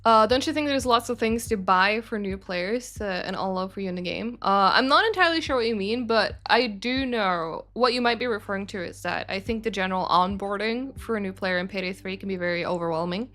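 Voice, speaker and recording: A woman's voice: mid-range voice, a little fast, hardly any pauses, sharp tone. A young woman chatting on a livestream as a game studio's community manager, answering viewer questions in a rambling way with lots of fillers and self-corrections. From Sweden. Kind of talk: casual livestream chat reading viewer questions.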